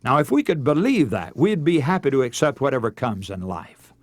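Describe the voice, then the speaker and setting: A man preaching a radio sermon in earnest, measured oratory, moving between scripture and exhortation. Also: mid-range voice, very animated, no echo.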